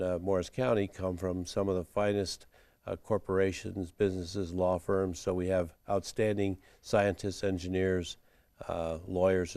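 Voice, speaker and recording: A middle-aged man answering interview questions as a college administrator, in a measured, formal way with frequent fillers and restarts. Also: deep voice, darkish tone, no echo.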